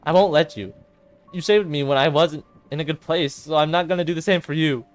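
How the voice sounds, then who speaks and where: A high-pitched, frantic teenage girl played by a man, a loyal best friend in a visual novel drama. The delivery is desperate and emphatic, with music behind it. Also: fairly quick, natural voice. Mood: earnest pleading.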